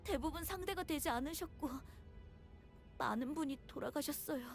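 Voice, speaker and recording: A woman's voice: sits high, rapid-fire, faint music behind. A woman playing a frightened, earnest young villager in a Korean video game, speaking politely as she explains things. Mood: distressed, recounting.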